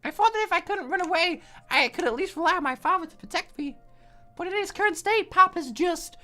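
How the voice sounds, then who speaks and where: A woman's voice: very high-pitched, animated, fairly quick, bright, forceful tone, soft background music, exaggerated voice. A woman voicing an anxious, easily flustered young woman from an anime visual novel, exclaiming and stammering dramatically. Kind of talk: visual novel read aloud in character.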